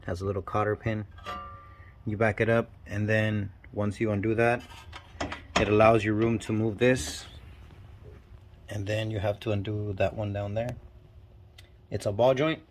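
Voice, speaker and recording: A man with a stuffy, nasal cold talking casually and haltingly while working on a car in his garage. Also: fairly low pitch, flat delivery, slight background noise. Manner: talks while working, halting and self-deprecating. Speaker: channel host. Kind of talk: casual DIY garage walkthrough. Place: home garage.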